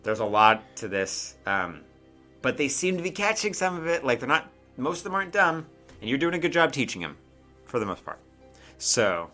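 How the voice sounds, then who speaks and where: A man's voice: mid-range voice, very animated, moderate pace, sharp tone, faint music behind. A man working as game master, narrating a tabletop game in casual, improvised chat with asides and hesitations.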